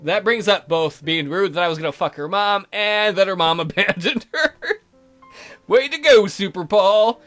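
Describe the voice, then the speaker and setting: Bright, high-voiced man joking through a game. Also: normal speed, hardly any pauses, soft background music. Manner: crude, irreverent joking. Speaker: let's play host. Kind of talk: reading a visual novel aloud with joking asides.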